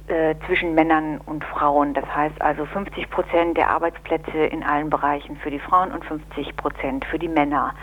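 A woman being interviewed as an official, answering in deliberate, formal and analytical phrases. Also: sits low, narrow, tinny audio, slight background noise. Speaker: interviewed women's equality officer. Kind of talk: spoken radio interview answers.

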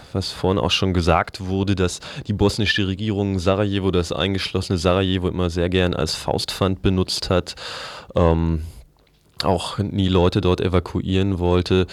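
Guy doing radio press review, kinda monotone, dry close sound, stumbles looking for words. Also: low voice, no room sound. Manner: halting, hesitant, searching for words. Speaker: radio news presenter. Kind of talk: semi-improvised press review commentary.

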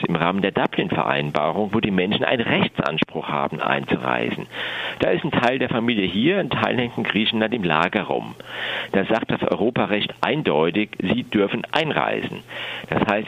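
A man's voice: on the low side, vocal fry, lo-fi sound. A man giving a political appeal in a radio interview as a refugee rights advocate, speaking insistently and emphatically.

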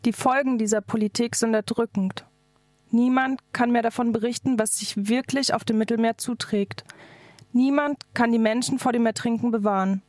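Woman activist reading a prepared political speech, higher voice, really dry close sound. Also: fairly even intonation, normal speed, a little mellow, no room sound. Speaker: activist speaker. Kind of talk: scripted political speech read aloud.

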